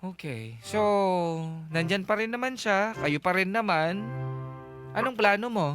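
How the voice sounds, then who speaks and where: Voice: mid-range voice, expressive, a little slow. A Filipino radio host giving conversational advice to a caller in a direct, insistent way, mixing Tagalog phrasing with English. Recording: music bed under voice. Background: background music.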